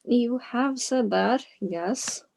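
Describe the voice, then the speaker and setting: Woman playing a slow, high-voiced wary questioner. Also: vocal fry, a little mellow, heavily acted, poor audio. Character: wary, questioning listener to a madman's confession. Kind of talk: theatrical radio play dialogue.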